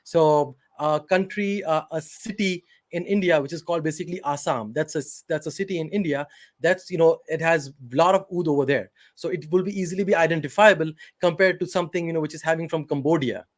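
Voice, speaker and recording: A man's voice: a little high, fairly quick. A man from Pakistan, a company CEO, answering interview questions hesitantly over a video call, with many fillers and restarts.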